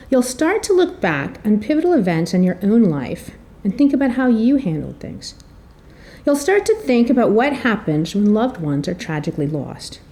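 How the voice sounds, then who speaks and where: A woman introduces a speaker at a public presentation. Her voice is mellow and dark-toned, and she delivers her prepared speech like a story, theatrically, with rhetorical questions and deliberate pauses. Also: medium pitch. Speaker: editor and marketing director introducing an author.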